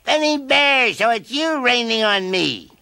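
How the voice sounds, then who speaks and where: A man voicing a kindly, chatty caterpillar in a storybook, with a high piping voice. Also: expressive, unhurried, vocal fry, pushed and bright, smooth, dry recording, exaggerated voice. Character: fuzzy golden caterpillar, kindly old fellow.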